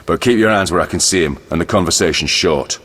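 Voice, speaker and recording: Deep-voiced, brusque jail captain. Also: male voice, fast, a bit bright, in a large hall. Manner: brusque, commanding. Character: gruff, no-nonsense, corrupt male jail captain. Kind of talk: video game voice acting.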